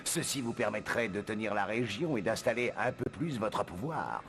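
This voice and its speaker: A man playing a cunning, obsequious villain knight in a French cartoon dub, with a gravelly voice. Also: on the low side, expressive, moderate pace, fairly bright tone. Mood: unctuous scheming.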